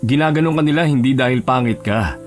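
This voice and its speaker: A man with a Filipino accent playing an aloof, blunt young bachelor with a calm, serious manner in an audio romance drama. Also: mid-range voice, quick pace, soft background music, close to an everyday voice. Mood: blunt, firm.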